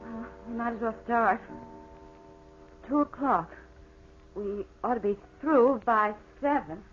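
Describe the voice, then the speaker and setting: An actress playing a capable, practical young woman in a 1940s-style radio drama, with a brisk manner. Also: on the high side, soft background music. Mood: practical, composed. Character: capable, game young woman who makes plaster casts. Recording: muffled, noisy old radio recording.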